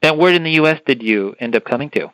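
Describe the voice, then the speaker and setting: A man's voice: a little high, animated, fast talker, fairly bright tone, dry recording, poor audio. A man hosting a podcast interview, enthusiastic and given to repeating words as he asks his questions. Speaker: podcast host.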